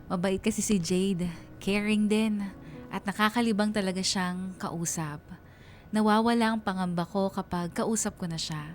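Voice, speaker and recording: Young female storyteller, melancholy, sentimental, confiding radio drama narration, medium pitch, music underneath acted by a woman. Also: fairly even intonation, moderate pace, close to an everyday voice. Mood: troubled.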